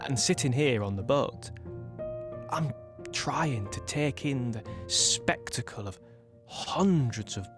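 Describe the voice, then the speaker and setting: Measured young British soldier. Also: male voice, medium pitch, a bit bright, no room sound. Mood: wistful, expectant. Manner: measured, halting, reflective storytelling in short phrases. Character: young male British tank gunner, proud, plain-spoken, later sombre. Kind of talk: audio play monologue.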